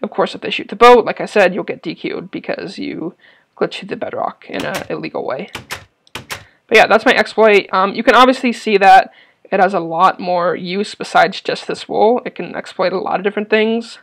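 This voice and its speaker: A man's voice: high-pitched, moderate pace, a bit bright. A young man casually explaining a game glitch over his gameplay, hesitating often with ums and restarts. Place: at a computer.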